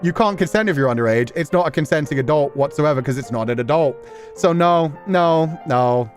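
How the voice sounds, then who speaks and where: A man's voice: a little high, expressive, fairly quick. Casual male streamer over background music. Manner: informal, emphatic, conversational with slangy asides. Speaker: streamer reacting to a story game. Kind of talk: casual rambling commentary, reading and answering chat.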